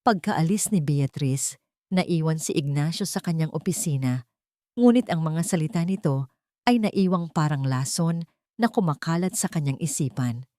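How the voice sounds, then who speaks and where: A woman tells a dramatic scripted story. Her voice sits a little low for a woman. The sound is dry, with hardly any room around it. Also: normal speed, warm tone, no echo.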